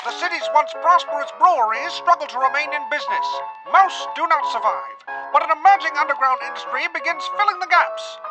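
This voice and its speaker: A woman narrates a scripted story in a dramatic style. Her voice is high, and music plays very loudly underneath it. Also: expressive, brisk, rough recording. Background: loud music.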